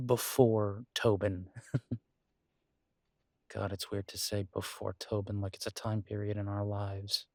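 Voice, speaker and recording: Male actor as earnest werewolf lover, unhurried delivery, close dry sound, halting pauses, tender and protective, intimate audio drama. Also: on the low side, no echo. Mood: wry, weary. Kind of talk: intimate dramatic roleplay monologue to a partner.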